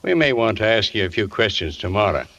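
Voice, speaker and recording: A gruff, impatient police sergeant in an old radio thriller. His lowish voice is brusque and slangy, heard through a dull recording with long reverb. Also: sing-song, brisk, fairly bright tone, slight background noise. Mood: brusque, dismissive. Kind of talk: 1940s radio acting.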